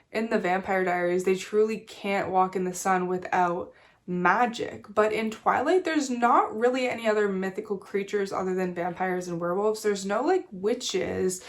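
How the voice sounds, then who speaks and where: A woman chatting casually about a book in a home reading vlog, a little nasal from being sick, filling her talk with "like". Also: medium pitch. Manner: chatty, fillers like "like", casual swearing. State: nasal from a cold. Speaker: book vlogger. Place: small reading corner at home.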